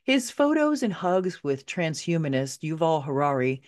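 Middle-aged woman, low pitch, highly varied intonation, author narrating audiobook, expressive, conversational, wry. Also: moderate pace. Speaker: author reading own book. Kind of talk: audiobook narration, first-person memoir.

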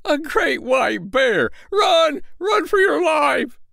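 A small, boastful tailor who panics easily, shrill and breathless, put on by a man reading a story. His voice darts high and all over the place, with a smooth, clear tone and a dry, close sound. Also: sing-song, a little slow, no echo. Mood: frantic.

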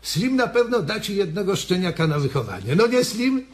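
Blunt, high-pitched ranch hand. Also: male voice, big pitch swings, normal speed. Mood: insistent. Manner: blunt, insistent. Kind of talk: radio drama acting.

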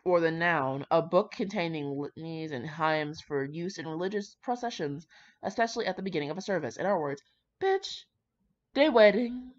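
A woman's voice: lowish voice, a little mellow. A woman reading aloud in a casual way, with brash, sweary, rambling commentary of her own. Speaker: fan fiction reader. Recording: slightly dull lo-fi home recording.

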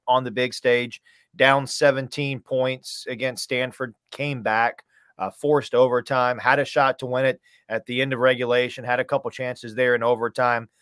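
A man's voice: medium pitch, fairly bright tone, no echo. A man in his thirties, a sports announcer, giving conversational analysis emphatically in short, clipped recaps. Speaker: radio play-by-play announcer, podcast guest. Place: indoor room, on a video call.

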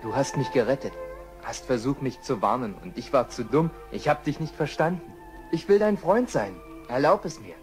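An actor playing a kind, level-headed expedition survivor in a children's radio play, speaking earnestly and emphatically. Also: male voice, medium pitch, animated, normal speed, close to an everyday voice. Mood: amazed, grateful. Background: music.

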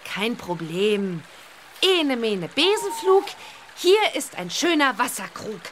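A woman's voice: a little high, very animated, takes it slowly, background noise. A woman playing a cheerful, confident young witch girl in a children's audio drama, bossy and playful.

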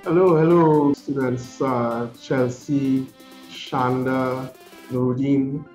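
A man, hosting an informal conversation in a halting, conversational way as a university principal. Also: medium pitch, fairly even intonation, darkish tone. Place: office, indoors. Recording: roomy office sound, faint music bed.

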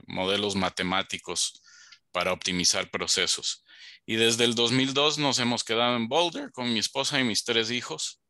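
A man in his fifties from Ecuador, giving a measured panel introduction on a video call over a headset. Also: lowish voice, bright, forceful tone, dry recording, studio quality. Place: home office on a video call.

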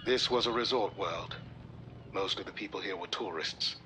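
An actor playing a grave, analytical middle-aged military science advisor in a video game, speaking in a measured, formal way. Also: male voice, sits low, pushed and bright, slight background noise. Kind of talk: video game dramatic acting.

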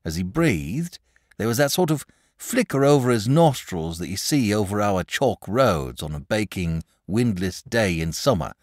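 A man's voice: on the low side, dry recording, studio quality, voice pushed up, heavily acted. A man voicing a nervous, wordy middle-aged country shepherd with rustic speech.

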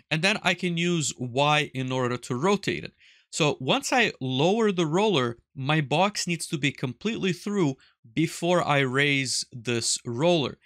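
A man in his thirties explaining a technical demonstration step by step in a measured, instructional way. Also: fairly high pitch, a bit bright, dry recording, clean studio sound. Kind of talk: tutorial narration with screen demonstration. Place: small indoor workshop room with wall of electronics.

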